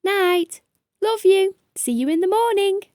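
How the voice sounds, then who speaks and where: A woman voicing a frightened, excitable young boy in a children's storybook, with an earnest manner. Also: very high voice, measured pace, no room sound, studio quality, voice pushed up. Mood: eager, affectionate. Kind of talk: children's storybook character reading.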